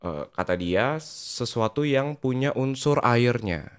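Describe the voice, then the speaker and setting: A man's voice: mid-range voice, lively intonation, slow pace, clean tone. A man in his twenties with an Indonesian accent, telling his personal story casually as an interview guest and mixing in English phrases. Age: 20s. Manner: frequent English phrases mixed into speech. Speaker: podcast guest, skincare content creator and entrepreneur.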